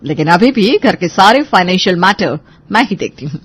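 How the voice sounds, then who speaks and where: A woman's voice: lowish voice, sing-song, moderate pace, husky. A woman playing a tough, protective lawyer elder sister in an audio story, assertive yet reassuring. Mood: proud, reassuring. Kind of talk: Hindi audio story acting.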